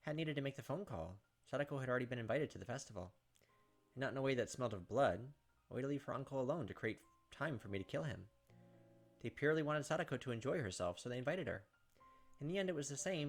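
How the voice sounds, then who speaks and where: A man's voice: medium pitch, lively intonation, fast. A man hosting a gameplay video, reading visual novel narration aloud and commenting casually. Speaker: gameplay host. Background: game music.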